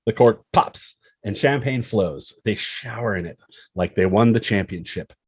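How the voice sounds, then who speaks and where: A male narrator reads screenplay action and scene headings. His voice is fairly low and raspy, recorded dry with no room sound. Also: measured pace, a little mellow, no echo. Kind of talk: scripted screenplay narration, reading stage directions.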